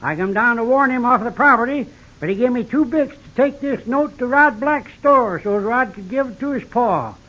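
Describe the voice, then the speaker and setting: An actor playing a loony, territorial old prospector with a cackling voice and a rustic Western American accent in an old-time radio western. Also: male voice, expressive, moderate pace, pushed and bright, exaggerated voice. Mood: chatty. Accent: rustic American West. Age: older. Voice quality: cackling, high old-timer voice. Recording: dull lo-fi vintage radio recording.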